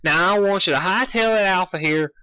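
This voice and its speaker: A stubborn, feisty female farm pony, acted by a man, with a forceful, raised voice. She talks in folksy phrases and digs in defiantly, as in a storybook reading of a cartoon tale. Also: sits high, fairly quick, smooth, no echo, voice pushed up, poor audio. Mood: scolding.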